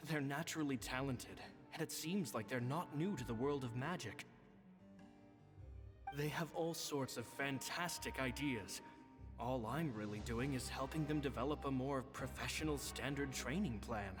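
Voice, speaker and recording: A man voicing a gentle, humble stage magician. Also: mid-range voice, normal speed, natural voice. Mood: reflective. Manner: gentle, earnest diary reading. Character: humble, kindly adult male stage magician. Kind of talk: game voice-over narration. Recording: game audio with long reverb and music.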